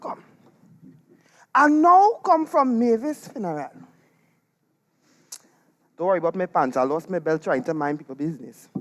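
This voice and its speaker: A woman playing a gossipy village woman in a theatrical comic stage monologue, with a Trinidadian accent and emphatic, melodramatic delivery. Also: fairly high pitch. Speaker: dramatic monologue performer.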